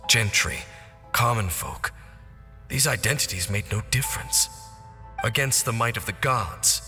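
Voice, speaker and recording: Casting: a somewhat low, formal voice for a mournful old-world knight, with a long echo and music behind. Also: male voice. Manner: solemn, formal, deliberate. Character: grave ancient knight, measured and mournful. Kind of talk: video game cutscene voice acting. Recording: echoey game cutscene with music.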